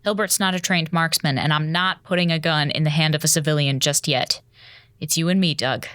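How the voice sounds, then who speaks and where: A woman's voice: sits low, steady tone, a bit bright, no echo, clean studio sound. Firm female station commander. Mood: businesslike. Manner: firm, brisk, no-nonsense. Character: level-headed, authoritative female space station commander. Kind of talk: audio drama acting.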